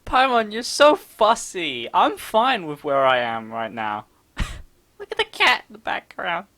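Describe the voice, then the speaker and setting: A woman game streamer giving casual, expressive, giggly let's play commentary. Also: mid-range voice, unhurried.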